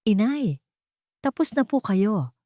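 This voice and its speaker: A woman's voice: medium pitch, sing-song, slow, warm tone, no echo, clean studio sound, pitched above the performer's own voice. A woman voicing an earnest, polite, bookish ten-year-old girl in a story. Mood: sweet.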